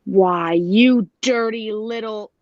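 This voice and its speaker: A woman's voice: medium pitch, takes it slowly, no echo, highs cut off. A woman playing a jealous, hot-tempered big cat trainer in a 1940s-style radio play. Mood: angry.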